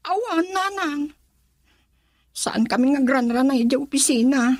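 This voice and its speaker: An actress with an Ilocano accent playing a defensive young bride-to-be in a radio drama. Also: female voice, high voice, lively intonation, a little slow, no room sound, clean studio sound. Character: Rosela, young woman about to marry, defensive.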